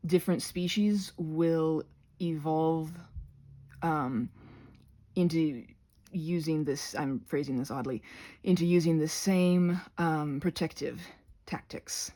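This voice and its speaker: A woman voicing a chatty, crafty goblin with a gravelly, husky voice, rambling haltingly through an ASMR craft session. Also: low voice, mellow. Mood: chatty, earnest. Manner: rambling, halting, goes off on tangents.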